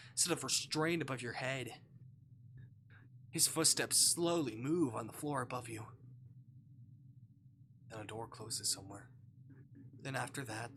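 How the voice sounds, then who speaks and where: A man with a bright, forward voice and medium pitch reads a visual novel aloud as a streamer. His narration is dramatic. There is faint noise in the background. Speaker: game streamer.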